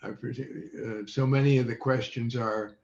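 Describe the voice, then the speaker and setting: An older man speaking as an interview guest on a video call, halting and often searching for words. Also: mid-range voice, steady tone, a little mellow. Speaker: interview guest, former quiz show contestant. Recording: muffled, echoey video call audio.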